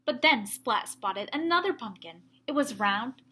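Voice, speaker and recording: A woman teacher reading a story aloud to young children, with expressive emphasis and explanatory asides. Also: a little high, a little slow, a little hiss or hum.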